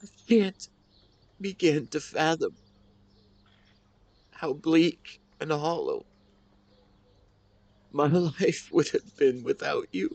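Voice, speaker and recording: A somewhat higher-pitched male voice carries a grieving husband as a man acts him out in an intimate audio drama. He speaks tenderly to the wife he lost, halting with emotion and full of devotion. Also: very animated, creaky, a little mellow, dry recording, full character voice. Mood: aching gratitude. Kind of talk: intimate audio roleplay monologue.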